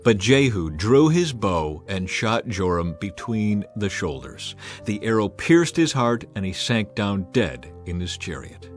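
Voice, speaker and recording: A man's voice: very animated, measured pace, hardly any pauses. A man reading a dramatized Bible passage as narrator, lifting his voice to act out the quoted speakers. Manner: acts out quoted speakers by raising pitch and volume. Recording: soft music bed behind the voice.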